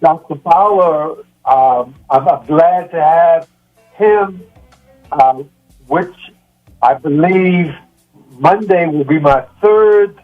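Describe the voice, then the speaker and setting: A man in his seventies talking reflectively as a guest, in halting, deliberate phrases with long pauses, through muffled stream audio. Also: a little high, faint music behind. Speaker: guest, yoga practitioner. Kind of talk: reflective conversational talk.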